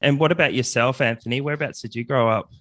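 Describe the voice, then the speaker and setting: A man hosting a podcast, asking clear questions with brief encouraging replies. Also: mid-range voice, fast, fairly bright tone, no room sound, clean studio sound. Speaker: podcast co-host and migration agent. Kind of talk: interviewing guests.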